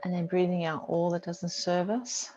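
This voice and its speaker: A woman yoga teacher leading a guided relaxation meditation in a soothing, unhurried way with long pauses. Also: fairly low pitch, level tone, warm tone. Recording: dull muffled audio, faint background noise.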